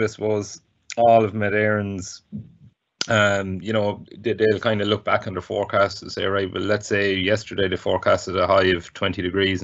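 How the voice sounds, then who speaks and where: A man with an Irish accent and a low-pitched voice explains things in casual conversation. He speaks haltingly, feeling his way through phrases. The sound is dull and lo-fi. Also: a bit bright. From Ireland. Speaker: guest contributor, volunteer weather observer.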